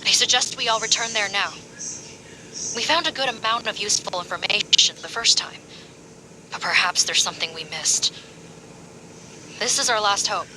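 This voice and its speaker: A woman's voice: medium pitch, normal speed, pauses often, close to an everyday voice. Woman playing a businesslike officer, noisy recording. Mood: resolute. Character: serious, businesslike female police officer. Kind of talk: scripted video game voice acting.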